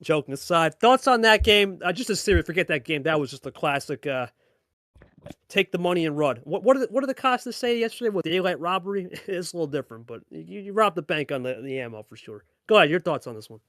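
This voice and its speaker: A man's voice: a little high, animated, fast talker, a bit bright, no room sound, studio quality. A man hosting and commentating on a card game match, hyping it up and repeating phrases for emphasis. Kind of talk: live play-by-play commentary and player interviews.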